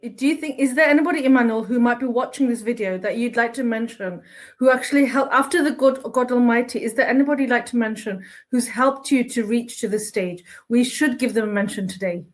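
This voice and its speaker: High-pitched, effusive woman interviewer. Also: brisk. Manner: effusive, encouraging, chatty. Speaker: interviewer and host. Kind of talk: informal live interview chat.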